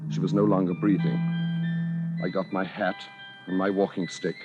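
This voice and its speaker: A man's voice: deep voice, little pitch movement, normal speed, lo-fi sound, natural voice. An actor playing a furtive, evasive married Englishman with delusions of station, speaking formally and hesitantly in 1940s radio suspense style. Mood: cold narration. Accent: British. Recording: vintage radio drama recording, faint music.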